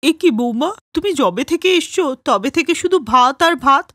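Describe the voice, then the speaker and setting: Casting: matronly cartoon mother-in-law, stern and exasperated, high pitch, close dry studio sound. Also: animated, moderate pace, a bit bright, no echo. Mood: annoyed. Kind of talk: animated moral-story dialogue.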